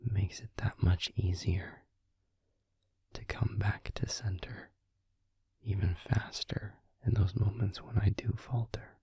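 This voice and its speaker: A man voicing a tender, devoted vampire boyfriend in an intimate ASMR comfort roleplay, speaking in a breathy, husky whisper close to the microphone. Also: on the low side, steady tone, warm tone, dry recording, heavily acted. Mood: earnest, reassuring. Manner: slow, tender, soothing, reassuring. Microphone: close microphone, dry.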